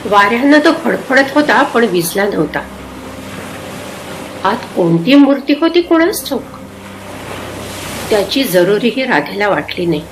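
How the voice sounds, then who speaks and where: A female narrator reads literary prose with dramatic, reflective expression. Her voice sits at a middle pitch, with clearly audible ambience in the background. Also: noisy background.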